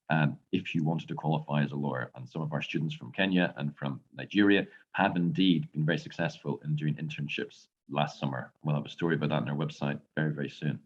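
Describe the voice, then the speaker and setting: Deep-voiced Irish man, dull audio. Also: husky. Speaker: law lecturer and barrister. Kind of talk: answering questions in an online lecture.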